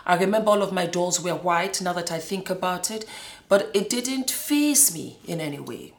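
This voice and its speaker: A woman's voice: lowish voice, animated, moderate pace. A middle-aged woman from Nigeria and Scotland giving a personal testimony, speaking emphatically and repeating words for stress. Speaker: former model telling her life story. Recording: roomy, echoing indoor sound.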